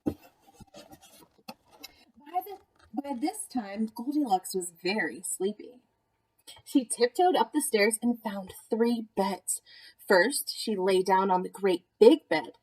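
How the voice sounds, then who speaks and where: A woman narrates a children's storybook at a slow, deliberate pace. Her pitch is mid-range for a woman, and she tells the tale expressively, as a teacher reads to young listeners. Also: lively intonation, darkish tone, slightly rough, poor audio. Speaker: art teacher reading aloud to children. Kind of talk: storybook read-aloud narration with asides.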